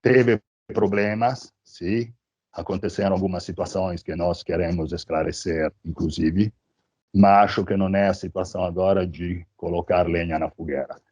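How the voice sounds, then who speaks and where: A man's voice: sits low, steady tone, normal speed, a little mellow, breathy edge, dry recording. A man from Italy with an Italian accent, a native Italian speaker, explaining things methodically as he answers an interview. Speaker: candidate for a community committee, interviewee.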